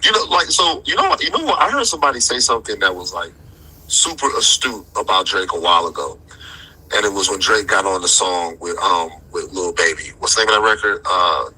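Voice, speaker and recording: Forceful husky man arguing casually. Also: sits low, animated, a little fast, low-quality recording. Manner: emphatic, repeats phrases for emphasis. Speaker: commentator in a debate about rap. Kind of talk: casual opinionated discussion, slangy.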